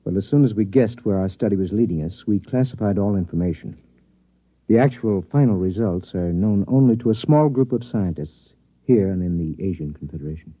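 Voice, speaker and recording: An actor playing a grave scientist in a 1950s radio drama, speaking in a formal, measured, solemn way. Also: male voice, on the low side, animated, slightly rough, phone-quality sound. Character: grave scientist professor bearing news of doom. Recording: thin band-limited vintage radio recording.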